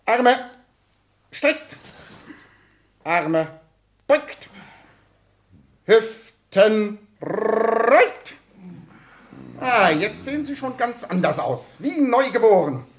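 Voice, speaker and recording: A strict, barking schoolteacher in a radio comedy. His pitch leaps about as he gives clipped, commanding phrases at a slow, deliberate pace, with a sarcastic edge. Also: big pitch swings, slight background noise, full character voice. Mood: barking orders. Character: strict, barking male gym and mathematics schoolteacher. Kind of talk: comedic German radio play acting.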